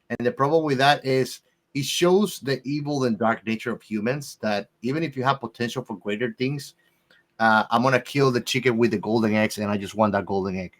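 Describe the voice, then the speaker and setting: A man co-hosting a casual film discussion, speaking emphatically and repeating phrases to drive his points home. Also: mid-range voice. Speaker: movie discussion podcast co-host.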